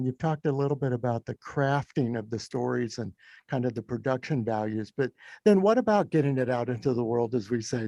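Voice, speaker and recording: A man hosting a conversational interview, phrasing his questions in a thoughtful, halting way. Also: medium pitch, creaky, warm tone, no echo. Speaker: interviewer, podcast host.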